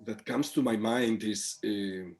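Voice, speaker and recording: A man's voice: on the low side, slow pace, gravelly, a little mellow, soft background music. A man from Spain, a guest on a video call from home who answers thoughtfully and searches for his words. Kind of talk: casual conversation.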